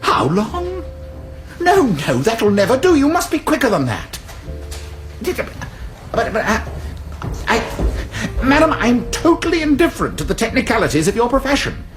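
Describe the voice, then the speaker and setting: A fussy, donnish librarian in a British radio drama. He is pedantic and precise with a lively, swooping pitch, and music sits clearly behind him. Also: a little slow, pauses often, exaggerated voice. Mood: flustered, indignant. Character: fussy, pedantic Cambridge college librarian, easily flustered.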